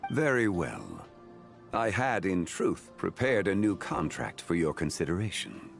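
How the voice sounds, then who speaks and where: A man playing a grave, composed ancient god in a fantasy game, speaking in a measured, solemn and formal way. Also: low voice, big pitch swings, gravelly, full character voice. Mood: calm, courteous. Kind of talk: fantasy game cutscene acting. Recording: music behind the voice.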